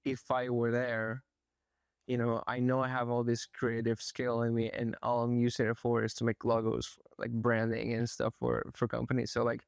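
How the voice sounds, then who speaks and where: Thoughtful soft-spoken young man. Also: medium pitch, completely flat delivery, normal speed, creaky, smooth, no room sound. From Spain. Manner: soft-spoken, thoughtful, hesitant, frequent fillers. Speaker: artist. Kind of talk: casual interview. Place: art gallery.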